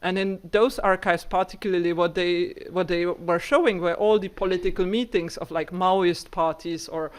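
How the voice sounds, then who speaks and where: A woman's voice: fairly low pitch, steady tone, normal speed, hardly any pauses. A woman researcher answering audience questions after a talk, rambling with many fillers like "like" and "you know". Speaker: guest speaker, architecture researcher.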